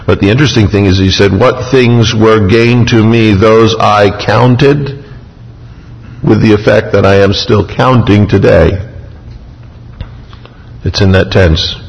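Low-voiced pastor preaching in a reverberant hall. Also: male voice, steady tone, slow pace, vocal fry, long pauses, muffled recording. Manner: emphatic, paraphrasing scripture in a vivid first person. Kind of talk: sermon.

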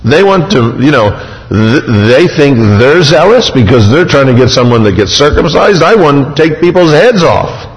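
A man's voice: on the high side, very animated, moderate pace. A man preaching a sermon, emphatic, retelling scripture in a vivid first person. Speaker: pastor. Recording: long hall reverb.

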